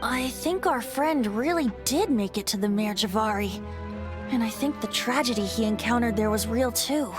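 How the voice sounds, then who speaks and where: A woman's voice: a little high, moderate pace, natural voice. A woman voicing a playful, musing young bard in a video game, teasing and thoughtful. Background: faint background music.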